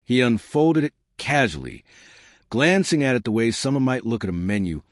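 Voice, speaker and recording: A man's voice: mid-range voice, big pitch swings, normal speed, no room sound, clean studio sound. A man reading a suspenseful science fiction story aloud in a dramatic narrating style.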